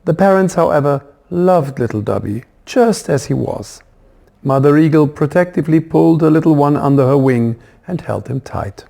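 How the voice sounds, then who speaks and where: Animated male storyteller with a highish voice. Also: moderate pace, vocal fry. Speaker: author reading his children's book. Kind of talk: expressive scripted storybook narration.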